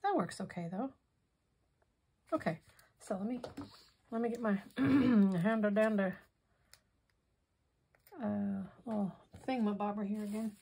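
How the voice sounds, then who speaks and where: A hoarse woman demonstrating a craft project in casual tutorial style, softly thinking aloud and drifting into whispered asides. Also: medium pitch, measured pace, long pauses, slight background noise. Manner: soft-spoken, musing, drifts into whispered asides.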